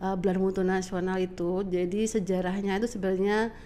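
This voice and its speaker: A middle-aged woman with a slightly congested, nasal voice, giving polite, halting explanations as an interview guest. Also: medium pitch, little pitch movement, dark tone. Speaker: interview guest, government agency director. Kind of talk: conversational explanatory answers.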